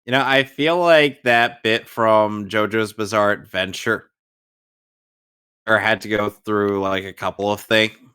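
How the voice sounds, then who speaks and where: A man's voice: lowish voice, fairly even intonation, unhurried, sharp tone, clear, resonant tone. A young man on a casual gaming stream, dryly teasing his friends while narrating his play. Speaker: podcast co-host and gamer.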